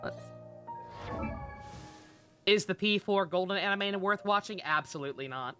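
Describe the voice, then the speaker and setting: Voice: mid-range voice, moderate pace, pushed and bright. A game streamer chatting casually and reacting on a live stream, informal, joking and sweary, close on the mic. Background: game music.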